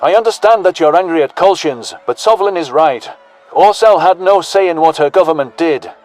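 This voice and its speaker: A man's voice: fairly high pitch, animated, normal speed, a little mellow, close to an everyday voice. A man playing a brash, pragmatic human soldier who leads with blunt, slangy, folksy talk. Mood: firm.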